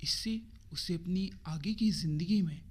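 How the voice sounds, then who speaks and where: A woman voicing a thoughtful father in a children's story, speaking in a measured, questioning manner. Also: on the low side, a little mellow. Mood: concerned.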